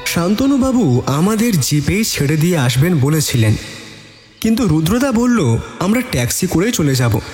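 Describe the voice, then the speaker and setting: Expressive male storyteller, echoing voice. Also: a little high, normal speed. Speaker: narrator. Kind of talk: dramatic scripted first-person story narration. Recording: reverberant voice with added echo. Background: faint music.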